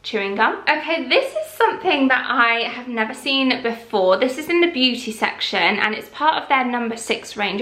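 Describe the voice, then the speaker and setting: A young woman chatting casually through a shopping haul, calm and rambling. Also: fairly high pitch, echoey room. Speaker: vlogger.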